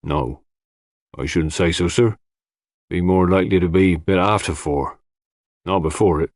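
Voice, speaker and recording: A man acts out an older rustic gardener in an audiobook, very low and mumbling. He speaks slowly and cautiously, with humble deference, in a dry close recording. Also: dry recording, lightly acted. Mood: cautious, deferential. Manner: mumbling, hesitant, slow rustic speech. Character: slow, cautious, deferential older male gardener with bent back.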